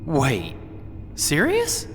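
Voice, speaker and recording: A woman voicing a playful older male mercenary commander who teases his crew, in an animated sci-fi drama. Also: sits low, big-room sound, a little hiss or hum, exaggerated voice. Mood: excited. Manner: playful, teasing, quick banter.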